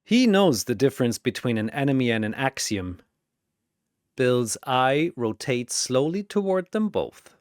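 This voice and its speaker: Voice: medium pitch, very animated, measured pace, dry recording, clean studio sound. A narrator delivering dramatic scripted battle storytelling in an emphatic, portentous, announcer-like manner.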